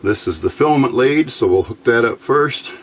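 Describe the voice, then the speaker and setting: A man giving an informal, somewhat halting hands-on electronics demonstration as the host. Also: medium pitch, lively intonation. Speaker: host of electronics tinkering videos. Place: indoor workbench.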